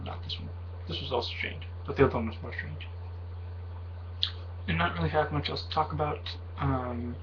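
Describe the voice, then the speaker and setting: Rambling young man vlogging casually. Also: medium pitch. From Mexican heritage. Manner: rambling, hesitant, many fillers and self-corrections. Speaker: video blogger. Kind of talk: casual vlog monologue. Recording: slightly dull audio with faint background hiss.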